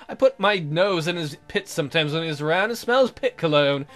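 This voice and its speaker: High-voiced jokey male let's player. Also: expressive, fairly quick, fairly bright tone. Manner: jokey, irreverent. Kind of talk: joking commentary and dramatic game reading.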